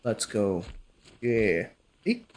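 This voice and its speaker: A man's voice: fairly low pitch, huge pitch swings, extremely slow, a little hiss or hum. A male streamer giving casual gameplay commentary and joking back and forth with his chat.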